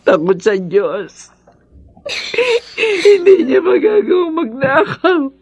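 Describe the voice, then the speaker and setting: A woman's voice: sits high, big pitch swings, takes it slowly, darkish tone, dull audio, exaggerated voice. An actress playing a distraught, loyal wife and mother in a radio drama, with a Filipino accent and an emotional, pleading delivery. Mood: anguished, tearful. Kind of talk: horror radio drama acting.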